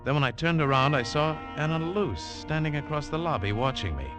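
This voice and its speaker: A man's voice: on the high side, animated, a little fast, lightly acted. An actor playing a tough, wary adventurer who tells his story in clipped, world-weary 1940s radio drama style. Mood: hard-boiled, brooding. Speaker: lead actor and first-person narrator. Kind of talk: 1940s radio adventure drama acting and hard-boiled narration. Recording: 1940s radio recording, music underneath.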